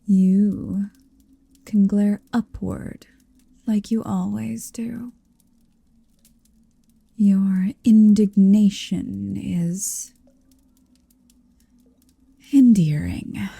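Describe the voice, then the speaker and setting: Woman as a slow, breathy, possessive dragon. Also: mid-range voice, steady tone, warm tone, dry recording, heavily acted. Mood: teasing, intimate. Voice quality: breathy, often whispering. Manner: slow, languid, commanding, teasing. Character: possessive centuries-old female dragon in human shape, haughty, doting. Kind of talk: ASMR roleplay, intimate monologue.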